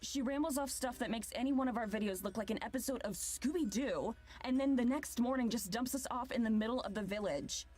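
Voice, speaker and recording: Young woman, acted, higher register, sarcastic, exasperated, skeptical, visual novel game, faint background music. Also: a little fast, a little mellow, lightly acted.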